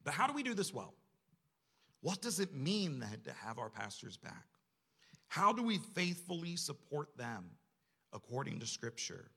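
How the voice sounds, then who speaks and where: Bright, gravelly male preacher. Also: on the high side, big pitch swings, moderate pace. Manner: conversational, self-deprecating, rhetorical questions. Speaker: church staff member preaching. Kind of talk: sermon to a congregation.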